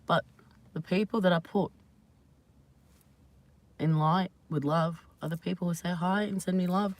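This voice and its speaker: A woman hosting her own channel, chatting casually and at length to her viewers, slightly hoarse, rambling and laughing often. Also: lowish voice, steady tone, a little slow, darkish tone. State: hoarse from screaming. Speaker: channel host. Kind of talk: casual live vlog chat to viewers.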